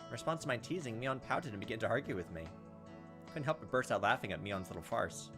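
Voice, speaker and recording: A man's voice: mid-range voice, quick pace, over music. A man reading a visual novel aloud as a gameplay host, adding casual, wry asides between the narrated passages. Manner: animated, wry joking asides. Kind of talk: let's play narration with casual commentary.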